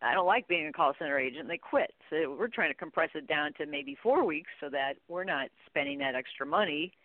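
A woman's voice: deep voice, animated, brisk, dry recording. Middle-aged woman, expert interview guest. Conversational storyteller who acts out quotes, heard over a narrow, dry phone connection. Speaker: guest expert, training company chief executive.

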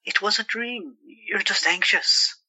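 A woman's voice: medium pitch, a little slow, pushed and bright, slightly rough, dry recording, full character voice, rough recording. A woman playing a protective, skeptical, worried sister in an audio drama, reasoning and pleading.

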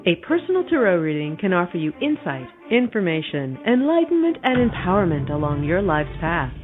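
A woman announcer delivers scripted promo narration with a lively, varied pitch that sits a little on the low side. Music plays behind her voice as she reads. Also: brisk, phone-quality sound.

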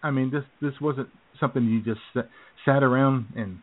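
A man's voice: medium pitch, steady tone, normal speed. A man co-hosting a casual talk show who jokes around and talks loosely, with lots of fillers. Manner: joking, loose, filler-heavy. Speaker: co-host. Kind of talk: casual radio chat. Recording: tinny phone-like line.

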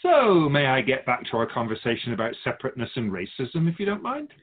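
A man's voice: medium pitch, huge pitch swings, quick pace, phone-quality sound. A man playing a wise, wry otherworldly spirit guide in a radio drama, teaching in formal, didactic phrases. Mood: wry, teasing. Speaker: spirit teacher answering questions. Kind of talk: scripted radio drama dialogue, expository.

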